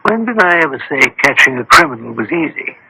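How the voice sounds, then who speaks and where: Cool radio drama detective, raspy and really sharp, dry and measured, in charge. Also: male voice, medium pitch, very animated, full character voice, poor audio. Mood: calm, dry. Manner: measured, dryly polite, authoritative. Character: dry, unflappable male detective directing a trap.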